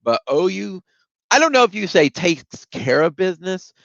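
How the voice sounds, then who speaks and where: A man's voice: sits high, big pitch swings, normal speed, creaky, fairly bright tone, no room sound, muffled recording. A man with a head cold, sounding stuffed up, giving a casual solo recap of a football game with lots of ums and restarts. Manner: conversational, many ums and restarts. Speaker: sports podcast host.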